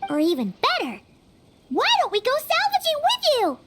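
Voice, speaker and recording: A woman voicing a small, childlike, cheerful fairy companion in a video game, with a squeaky, perky, chatty delivery. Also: huge pitch swings, normal speed, very noisy, heavily acted. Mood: eager, insistent. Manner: perky, refers to self in third person. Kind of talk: video game character dialogue. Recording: music behind the voice.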